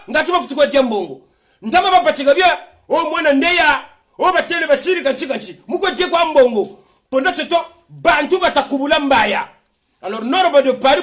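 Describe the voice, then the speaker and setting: A man's voice: very high-pitched, a bit bright. A man with a Congolese accent giving an impassioned political monologue, insistent and repetitive, switching between languages. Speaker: political commentator.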